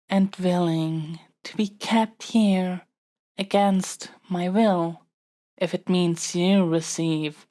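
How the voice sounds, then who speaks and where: A woman's voice: fairly low pitch, a little mellow. A woman playing a young royal princess in an audio roleplay, speaking in a formal, stately, politely pleading manner. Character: young royal princess, haughty yet smitten, kidnapped by dragon. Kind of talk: scripted audio roleplay acting.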